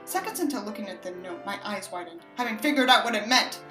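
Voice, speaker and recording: A woman reads an audiobook in an expressive, sardonic way, sounding exasperated with the text. Her voice sits at an ordinary pitch in a roomy, echoing space, with music underneath. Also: quick pace. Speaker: audiobook reader and commentator. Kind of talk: expressive book narration with mocking asides.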